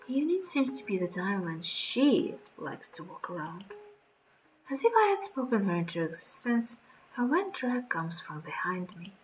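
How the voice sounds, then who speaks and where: Woman, mid-range pitch, breathy dramatic storytelling, game narration read aloud, faint background music. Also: rough recording. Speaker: streamer playing a horror visual novel. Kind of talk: reading game narration aloud with brief reactions.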